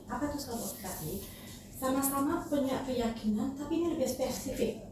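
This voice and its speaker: A woman explaining things in a casual podcast talk, hesitantly and with English terms mixed in. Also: fairly high pitch. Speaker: talent management manager, podcast guest. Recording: very echoey room, distant mic, noisy.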